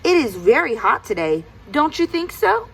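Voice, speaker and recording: A woman's voice: high voice, very animated, normal speed, creaky, voice pushed up, heavily acted, poor audio. A woman voicing Anansi's part in a children's storybook, a sly male trickster with a coaxing, insistent way of talking. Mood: friendly, scheming. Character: sly lazy trickster spider Anansi, eager and pushy, male.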